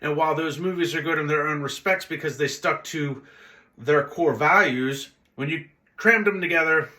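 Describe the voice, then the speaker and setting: A man's voice: on the high side, sharp tone. A man hosting a movie review video in a casual, unscripted style, blunt and conversational, wandering into tangents.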